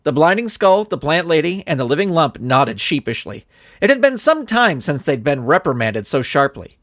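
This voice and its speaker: A man narrating a scripted comic story in an expressive storytelling manner. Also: fairly high pitch, normal speed, no echo, clean studio sound. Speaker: author and narrator.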